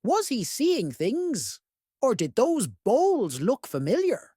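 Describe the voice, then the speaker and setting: Children's read-aloud host, expressive, highly varied pitch, slow careful pace, dry sound. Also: slightly rough, no room sound. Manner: expressive, addressing young listeners.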